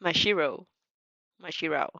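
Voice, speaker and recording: Animated woman narrating, close and dry. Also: deep voice, measured pace, gravelly, no room sound, highs cut off. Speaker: fanfiction narrator and reactor. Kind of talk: expressive story narration with casual asides.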